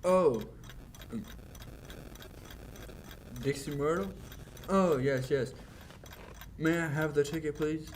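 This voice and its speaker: A man's voice: on the high side, takes it slowly, pauses often. A young man acting a courteous middle-aged clockmaker in 1940s radio style, polite, hesitant and deferential. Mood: polite, absentminded. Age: young adult. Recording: faint noise, clock ticking behind.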